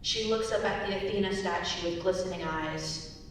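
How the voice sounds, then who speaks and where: A young woman reading scripted narration and stage directions as a narrator. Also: moderate pace. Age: young adult. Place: large echoey indoor performance space. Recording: very echoey hall, distant mic, rumbly noise.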